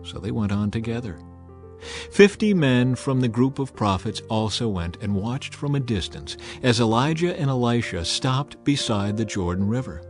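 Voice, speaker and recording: A man narrates a dramatized scripture reading in a measured, solemn voice with an average pitch. Gentle music plays faintly in the background. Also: animated.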